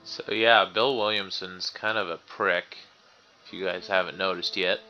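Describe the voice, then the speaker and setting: A man's voice: sits low, steady tone, moderate pace, highs cut off, music in the background. A man hosting a let's play, chatting informally in casual gameplay commentary.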